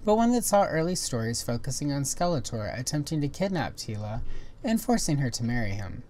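A woman hosting a toy history video, giving scripted documentary narration in a measured, informative way. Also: extremely low pitch, big pitch swings, darkish tone, a little hiss or hum.

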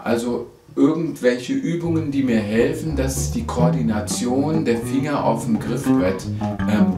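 A middle-aged man, hoarse from a cold, teaching a bass lesson to camera in a chatty way, with "ja?" and "ähm" fillers. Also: darkish tone. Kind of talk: video lesson, direct to camera. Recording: roomy echo.

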